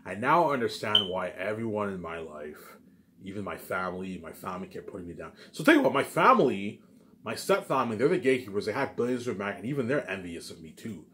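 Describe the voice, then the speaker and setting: A man's voice: fairly low pitch, sing-song, fast, fairly bright tone. A man giving a rambling, casual monologue as a video maker, insistent, boastful and often repeating himself. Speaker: video maker talking to viewers.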